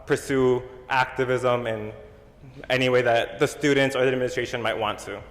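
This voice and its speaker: Young man at the floor mic, loud and halting, echoey hall. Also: medium pitch, steady tone. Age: young adult. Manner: loud, halting, restarts with many ums. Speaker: audience member asking a question. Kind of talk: question from the floor. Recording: echoey hall, long reverb.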